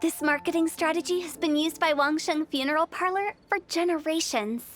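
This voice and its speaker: A mischievous young funeral director, voiced by a woman, talks in a very high, bright voice. She pitches her schemes breezily and cheekily. Faint game music sits behind her. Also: fairly quick, natural voice. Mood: scheming.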